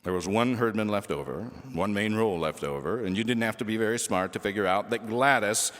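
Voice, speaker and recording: A man reading a children's story aloud as lively scripted narration. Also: on the low side, a little fast. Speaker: storybook reader. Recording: echoey hall reverb with music.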